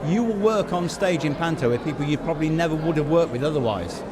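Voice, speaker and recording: A man with a slightly high voice hosts a casual interview. A very loud crowd chatters around him as he puts questions and comments to guests. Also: lively intonation, fast talker. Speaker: podcast host and interviewer. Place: busy public venue at an awards event. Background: loud crowd and venue noise.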